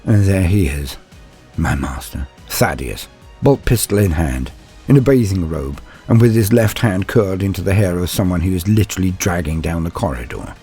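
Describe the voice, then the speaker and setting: Casting: male dramatic narrator-host, low register, highly animated intonation, courtly phrasing, scripted delivery. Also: moderate pace, breathy edge. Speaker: storyteller and channel host. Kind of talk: dramatic first-person story narration and scripted channel address. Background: background music.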